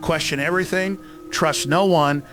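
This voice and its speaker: A man plays a paranoid survivalist in an apocalyptic audio drama, defiant toward all authority. He speaks in terse, emphatic commands with a fairly high male pitch, over light music. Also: steady tone, normal speed, close to an everyday voice. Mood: bitter, insistent.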